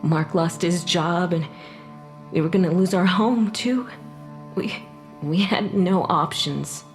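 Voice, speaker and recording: An actress playing the ghost of a murdered young mother in an audio drama, sharp-tongued and emotional, halting when upset. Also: fairly low pitch, faint music behind. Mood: grieving, bitter. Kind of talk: dramatic audio fiction acting.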